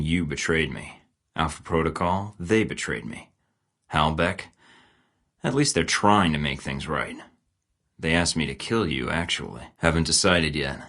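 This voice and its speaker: A man's voice: extremely low pitch, a bit bright, heavily acted. An actor playing a blunt, sarcastic male spy agent in video game dialogue, with dry, clipped lines.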